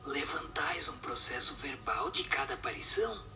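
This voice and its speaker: An actor voicing a solemn spirit that gives measured, instructive answers in a breathy whisper in a radio drama. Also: medium pitch, lively intonation, sharp tone, recorded from a distance.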